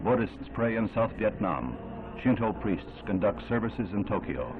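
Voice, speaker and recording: Male American newsreel narrator, 1960s, grave and oratorical, low register, thin band-limited soundtrack. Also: animated, narrow, tinny audio. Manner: grave, measured, oratorical delivery. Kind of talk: 1960s newsreel scripted narration, solemn and dramatic. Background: music.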